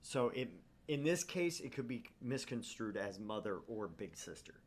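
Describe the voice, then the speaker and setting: A man's voice: mid-range voice, sing-song, normal speed. A man co-hosting a let's play, switching between casual chat and narrating the story aloud. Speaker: co-host and narrator of a visual novel let's play.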